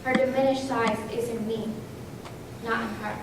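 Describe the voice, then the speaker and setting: Woman, higher-pitched voice, theatrical recitation, very echoey hall, clear background noise. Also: level tone, normal speed. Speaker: readers theater performer. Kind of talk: staged dramatic recitation. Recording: very echoey hall, distant mic, hissy noise.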